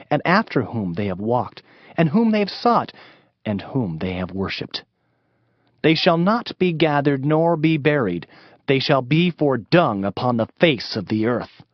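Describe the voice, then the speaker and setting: A man's voice: mid-range voice, no echo. A man narrating a dramatized Bible reading in a solemn, emphatic, declamatory manner. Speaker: scripture narrator. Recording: slightly muffled, music underneath.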